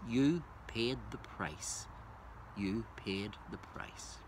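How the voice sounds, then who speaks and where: A man speaking as a poetry reader, introducing and reciting verse in a measured way. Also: on the low side, slight background noise, rough recording.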